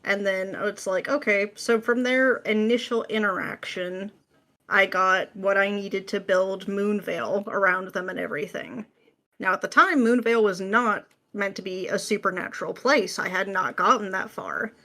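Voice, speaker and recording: A woman's voice: medium pitch, moderate pace, a bit bright. Casual woman chatting as podcast guest. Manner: informal, explains by voicing her own thoughts. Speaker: podcast guest, script writer. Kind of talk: casual interview chat.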